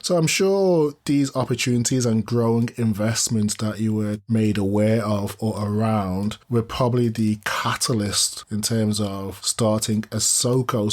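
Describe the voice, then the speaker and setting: A man's voice: fairly low pitch, a little mellow. A man hosting an interview podcast, asking long, polite and fairly formal questions. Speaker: podcast host.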